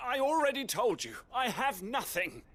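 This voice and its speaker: A man's voice: very high-pitched, big pitch swings, normal speed, a bit bright, exaggerated voice. An actor playing a polite male servant and agent in a fantasy video game, speaking in a courteous, formal manner. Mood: pleading. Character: polite, well-dressed male servant agent, composed scout.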